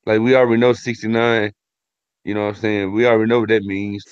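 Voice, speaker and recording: A low-voiced man hosts a casual stream, thinking aloud in a loose, conversational way. The audio is dull and lo-fi, and dry with no echo. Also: flat delivery, fast talker, clear, resonant tone. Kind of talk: casual live-stream discussion.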